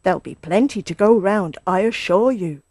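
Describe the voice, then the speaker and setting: A woman's voice: mid-range voice, lively intonation, normal speed, a little mellow, no room sound, studio quality. A woman voicing a sly, confident male fox in a children's story, suave and assured. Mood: generous.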